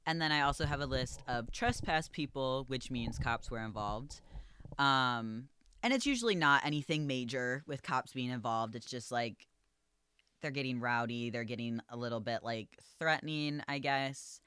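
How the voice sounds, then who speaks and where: A man who manages a coffee shop, talking casually and calmly, with a voice that projects and lots of "like" and "um.". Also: medium pitch, moderate pace, smooth, no room sound. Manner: calm, easygoing, filler-heavy with lots of "like" and "um". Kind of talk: casual podcast chat.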